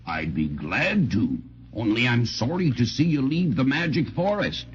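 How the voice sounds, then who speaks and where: Slow, folksy friendly giant. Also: male voice, mid-range voice, sing-song. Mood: kindly. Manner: kindly, folksy, drawling. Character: friendly, chuckling, lonesome gentle giant. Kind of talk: 1930s children's radio serial acting. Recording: dull, hissy 1930s radio recording.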